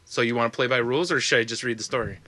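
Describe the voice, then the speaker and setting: A man's voice: medium pitch, very fast, sharp tone, no echo. A man hosting a podcast, teasing his co-hosts dryly and moving the show along. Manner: dry teasing, steers the show. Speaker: podcast host. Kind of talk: casual comedic chat.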